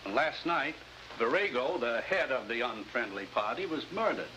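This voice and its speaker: An actor playing a brisk, authoritative commissioner in a 1950s spy drama, clipped and urgent. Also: male voice, mid-range voice, expressive, bright, forceful tone. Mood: urgent, commanding. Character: brisk, commanding male spy agency commissioner. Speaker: actor in a supporting role. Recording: faintly noisy vintage 1950s broadcast recording.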